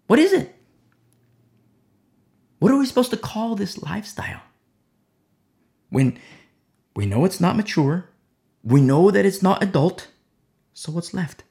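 Gravelly preacher with lively pitch. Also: a little slow, long pauses. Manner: rhetorical, repeats questions and "you see?". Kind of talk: sermon.

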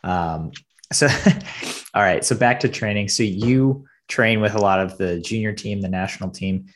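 An interviewer asking casual questions and reacting with friendly enthusiasm. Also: female voice, extremely low pitch, unhurried.

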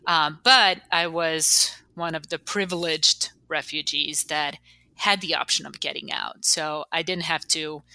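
A woman in her forties from Bosnia shares personal memories as an interview guest. Her voice is low and bright, and she moves slowly and reflectively, with halting phrasing. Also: no room sound, rough recording. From Bosnia (former Yugoslavia). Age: 40s.

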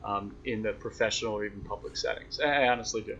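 A man's voice: lowish voice, fast talker, bright, forceful tone. A young man answering interview questions in a reflective, self-deprecating, conversational way, as a sports broadcaster. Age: young adult. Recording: dull lo-fi sound, noisy background.

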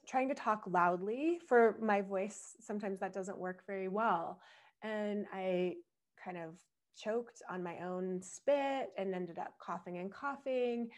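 A young adult woman hosting a solo podcast. She explains things in a reflective way, laughs now and then, and her voice is slightly husky from strain. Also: mid-range voice. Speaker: podcast host.

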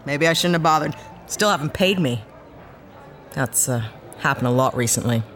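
A woman's voice: sits low, lively intonation, moderate pace, fairly bright tone. An actress playing a sardonic, blunt ex-cop starship captain down on her luck, in a sci-fi audio drama. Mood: weary, sardonic. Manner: sardonic, blunt, hesitant when awkward.

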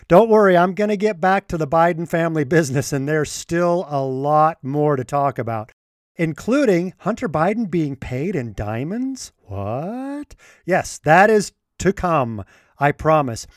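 A man hosts his podcast alone, his voice a little congested and his throat needing clearing now and then. He talks earnestly and with indignation, his pitch sitting a touch above the usual for a man. The sound is dry and close, with no room around it. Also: no echo. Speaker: podcast host. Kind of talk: solo podcast commentary.

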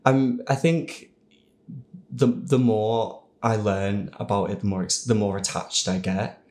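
A man's voice: lowish voice, steady tone, measured pace, a little mellow. A man chatting casually on a panel, hesitant, self-deprecating and prone to giggles. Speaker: voice actor on a Q&A panel. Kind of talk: casual group chat.

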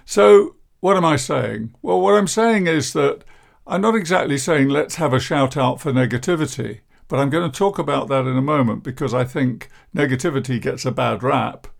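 A man in his sixties, a therapist hosting his own podcast, talking in a deep, croaky, downbeat and self-deprecating way. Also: normal speed. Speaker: podcast host, therapist and coach. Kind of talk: reflective podcast monologue.

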